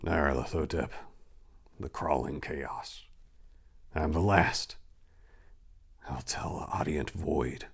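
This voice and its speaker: A man reading a horror tale dramatically as a haunted, dread-filled narrator, theatrical and ominous with drawn-out emphasis. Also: extremely low pitch, slightly rough, pitched below the performer's own voice, heavily acted.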